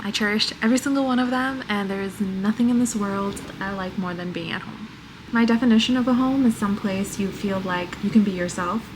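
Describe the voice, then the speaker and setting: A woman in her twenties from New York with a very soft voice, talking reflectively to the camera in a casual vlog at home. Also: medium pitch, noisy background. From New York, United States. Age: 20s. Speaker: vlogger.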